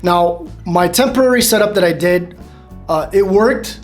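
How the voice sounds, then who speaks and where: A man's voice: sits high, a little slow, faint music behind. A man in his thirties casually explaining a truck radio install to camera, rambling with restarts and small laughs. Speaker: vlogger. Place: dim room at home, bike behind. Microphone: camera close up, facing it.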